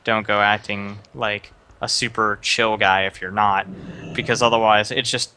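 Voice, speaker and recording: A man's voice: lowish voice, flat delivery, normal speed, bright, forceful tone, smooth. A young man streaming live, casually giving another streamer rambling advice with lots of fillers. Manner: rambling, many fillers and restarts, advising.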